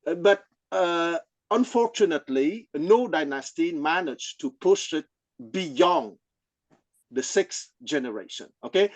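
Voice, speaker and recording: A man who is a non-native English speaker, explaining things in an informal scholarly discussion, haltingly and often checking with "okay?". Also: on the high side, dry recording. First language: not English. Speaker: study group participant.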